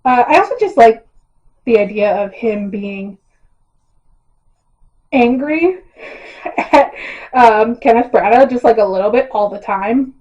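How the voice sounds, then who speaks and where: Hoarse rambling woman, lo-fi sound. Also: fairly high pitch, takes it slowly, pauses often, a little mellow. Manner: rambling and self-deprecating, with frequent ums and laughs. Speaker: YouTuber casting book adaptations. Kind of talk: casual chat to camera. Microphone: built-in camera mic, no external mic.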